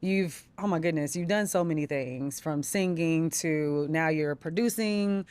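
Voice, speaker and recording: A woman with a fairly low voice hosts a casual interview podcast. She comes across as upbeat and affirming, chatting in an informal, conversational way. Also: measured pace, a little mellow, clear, resonant tone. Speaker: podcast host. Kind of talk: casual celebrity interview chat.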